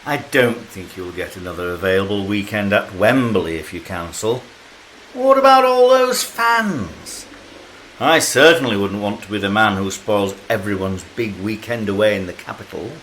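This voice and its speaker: Actor as a low-voiced, lively stadium official. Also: male voice, normal speed, a little hiss or hum, poor audio. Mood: veiled pressure. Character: smug, dryly meddling male stadium official. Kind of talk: radio play acting.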